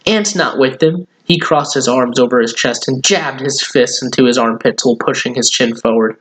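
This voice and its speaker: A man's voice: medium pitch, steady tone, moderate pace, a bit bright, rough recording. A man hosting story time and reading a children's book aloud. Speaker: children's story reader, host.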